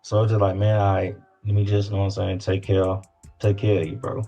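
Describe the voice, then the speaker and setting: Male interview guest, low voice, Southern US accent, loose slangy delivery that circles back over phrases. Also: completely flat delivery, brisk, dark tone, soft background music. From Louisiana. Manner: informal slangy, repeats phrases. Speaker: independent rapper guest. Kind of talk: casual interview chat.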